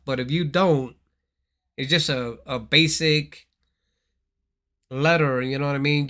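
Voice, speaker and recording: A man's voice: mid-range voice, sharp tone. A man from Thailand hosting a casual gaming livestream, rambling and repeating his words as he talks straight to his viewers.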